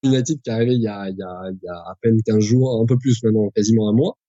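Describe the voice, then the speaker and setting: A man's voice: lowish voice, fairly even intonation, fast talker, warm tone, no room sound, highs cut off. A man in his forties, a pilot guest on a podcast, explaining at length with frequent hesitations and restarts. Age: 40s. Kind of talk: conversational podcast interview.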